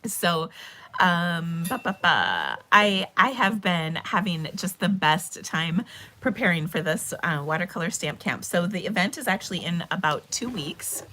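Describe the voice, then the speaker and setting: A woman hosting a casual live craft stream, chatty and bubbly, her voice a little hoarse because she is losing it. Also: fairly low pitch, sharp tone. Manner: chatty and bubbly, with frequent ums and laughs. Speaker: host of a live stamping and cardmaking stream.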